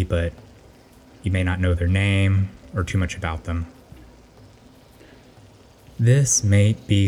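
Male meditation narrator, low register and warm dark tone, gentle and unhurried delivery, faint noise behind the voice. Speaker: meditation guide.

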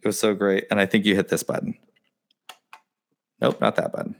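A man hosting a podcast live stream in casual, excitable chat, rambling with lots of fillers. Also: on the low side, a little fast. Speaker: podcast host.

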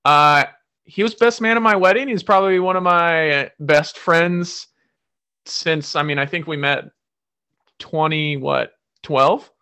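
A man's voice: fairly high pitch, unhurried, bright, forceful tone, smooth. A man hosting a podcast, talking loosely with lots of fillers and restarts. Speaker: podcast host. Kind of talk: casual podcast chat.

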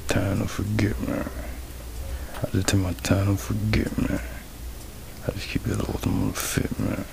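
A man's voice: fairly low pitch, completely flat delivery, creaky. A nineteen-year-old man musing in a freestyle monologue, slangy and profane, sometimes dropping to a whisper. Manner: slangy, profane, slow reflective talk, drops into whispers. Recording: noisy background ambience.

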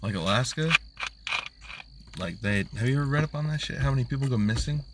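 A man's voice: medium pitch, lively intonation, a little fast, recorded from a distance, very noisy. A man co-hosting a casual, boozy podcast chat, rambling in a laid-back way with plenty of swearing. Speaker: podcast co-host.

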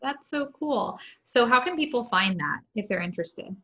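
A woman's voice: on the high side, lively intonation, normal speed, bright, forceful tone, lo-fi sound, slight background noise. A woman hosting a podcast interview, chatting casually and affirming her guests. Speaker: podcast host.

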